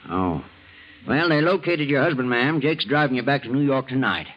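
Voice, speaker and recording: An actor playing a kindly, folksy country doctor in an old-time radio drama, on a slightly muffled recording. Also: male voice, mid-range voice, animated, moderate pace, lightly acted. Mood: gentle, soothing. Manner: homespun, kindly.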